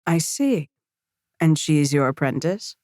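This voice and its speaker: Low-voiced, commanding adventurer leader. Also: female voice, animated, takes it slowly, a little mellow, no echo, clean studio sound. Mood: measured. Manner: commanding, matter-of-fact, curt. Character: brisk, seasoned female leader of fantasy fighters. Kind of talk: audiobook character acting.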